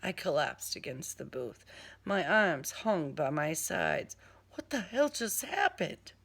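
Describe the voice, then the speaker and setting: A woman's voice: deep voice, sing-song, slow, creaky, a bit bright, slightly rough. A woman author reading her own first-person crime novel as scripted audiobook narration.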